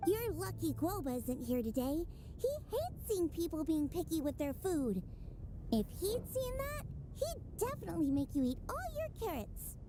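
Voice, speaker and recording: A woman voicing a cheeky, outspoken little childlike girl companion in a video game, blunt and excitable, over music. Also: very high voice, normal speed. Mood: tattling, teasing. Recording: loud music behind the voice.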